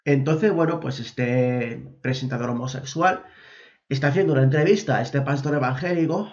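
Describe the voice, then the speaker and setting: A hoarse man with a Spanish accent from Spain rambles through blunt, informal reaction commentary. The recording sounds dull and lo-fi. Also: mid-range voice, moderate pace, a little mellow. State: hoarse from a cold.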